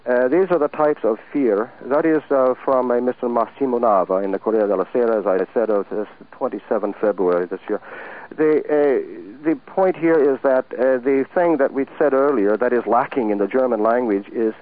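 A man speaks earnestly and deliberately as a guest in a political radio interview. English is not his first language. His mid-pitched voice comes through a thin, tinny broadcast line. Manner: earnest, deliberate, translating quotes aloud.

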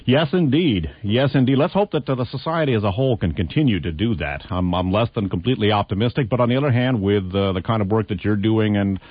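A hoarse man hosting a radio interview, earnest and halting, with many fillers. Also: fairly low pitch, no echo. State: hoarse from laryngitis. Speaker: radio host. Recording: muffled lo-fi radio broadcast.

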